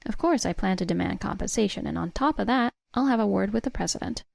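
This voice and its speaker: Woman reading a story script, measured, bit of vocal fry, dry close recording. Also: medium pitch, lively intonation, a little mellow, no room sound. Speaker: story narrator. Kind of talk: scripted first-person story narration.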